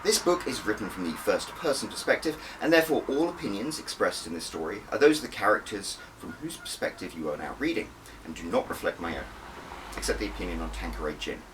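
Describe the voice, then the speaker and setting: A man's voice: lowish voice, big pitch swings, quick pace, far from the mic, noisy background. A man reading his own story aloud as an audiobook, with a wry, conversational manner and dramatic emphasis. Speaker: author reading own book. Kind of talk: first-person audiobook narration.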